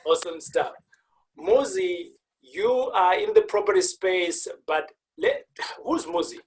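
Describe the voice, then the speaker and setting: Playful host. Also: male voice, sits high, lively intonation, measured pace, poor audio. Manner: playful, joking hype-man delivery. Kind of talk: casual interview.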